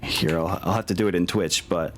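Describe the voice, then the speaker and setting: A man's voice: low voice, fairly quick, slight background noise. A man casually running a tabletop game as game master, thinking aloud and encouraging his players. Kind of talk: casual game refereeing chat. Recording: music playing behind the voice. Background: music.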